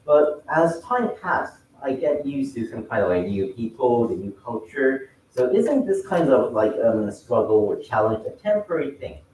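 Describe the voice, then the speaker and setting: A man's voice: medium pitch, very animated, a little mellow, slight background noise. Hesitant man. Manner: hesitant, halting, many fillers and restarts. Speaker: contest judge asking questions. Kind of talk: live question and answer at a speech contest. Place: large hall at a speech contest. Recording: echoey hall, mic at a distance.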